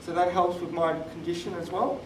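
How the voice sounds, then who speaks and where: A man's voice: high voice, steady tone, a little fast. A man in his twenties giving an explanatory personal talk as a speaker on a live panel. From born in Thailand, moved to Australia. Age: 20s. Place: conference room, live event. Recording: roomy, distant mic, clear background noise.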